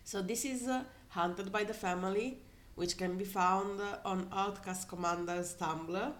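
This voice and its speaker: A woman reading a story aloud casually, in an animated way, breaking off to add comments of her own. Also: medium pitch, a little slow. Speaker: fan fiction reader.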